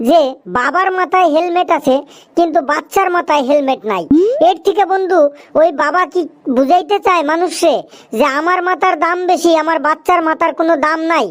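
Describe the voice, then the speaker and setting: Chatty young cartoon pal, extremely high-pitched, eastern Bengali dialect, earnest, scolding, colloquial. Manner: preachy, emphatic, colloquial. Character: talkative food-loving young cartoon friend, earnest and scolding. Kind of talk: cartoon dialogue with moral advice.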